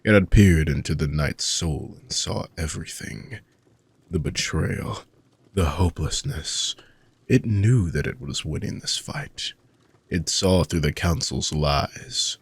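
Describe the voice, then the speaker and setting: Man voicing an ancient dark mage, very deep and gravelly. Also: no room sound, heavily acted. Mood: grave, intense. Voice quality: gravelly, husky. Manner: soft, deliberate, formal archaic phrasing. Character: ancient dark mage villain, weary, tender, brooding. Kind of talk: slow, intimate dramatic monologue for sleep-aid audio roleplay.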